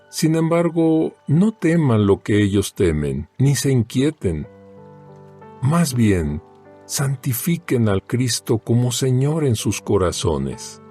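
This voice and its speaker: A man reads scripture in a solemn, deliberate way, taking his time over each phrase. His pitch moves widely, giving the dramatized narration an animated contour. Faint music plays behind him. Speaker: Bible audio narrator. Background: background music.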